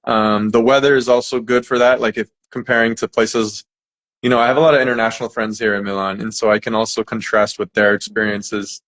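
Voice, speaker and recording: A man's voice: lowish voice, brisk, low-quality recording. A young American man from California, an English teacher chatting casually as an interview guest with lots of fillers. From California, United States. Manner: relaxed, chatty, many fillers and restarts.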